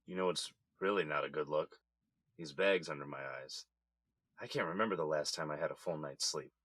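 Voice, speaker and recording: A man's voice: a bass voice, fairly quick, a bit bright, no echo. An actor playing a weary, blunt middle-aged businessman held in jail, in a naturalistic audio drama. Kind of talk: naturalistic scripted drama dialogue.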